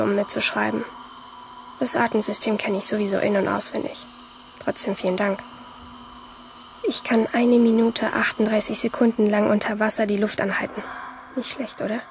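A woman's voice: medium pitch, slight background noise, close to an everyday voice. A woman playing a solitary, dry-witted schoolgirl in a radio drama, narrating her day in terse, understated phrases. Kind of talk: first-person audio drama monologue.

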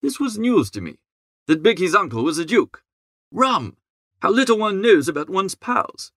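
A man reading an audiobook as a chatty, breezy young English gentleman, full of jaunty old-fashioned upper-class slang. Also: sits high, very animated, normal speed, dry recording, clean studio sound, full character voice. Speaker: narrator. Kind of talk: scripted first-person audiobook narration.

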